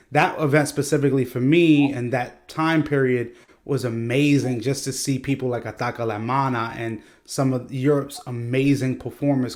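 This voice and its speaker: Effusive man hosting an interview. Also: medium pitch. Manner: effusive, long winding questions. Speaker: interview host. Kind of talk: enthusiastic interview questions. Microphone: video call.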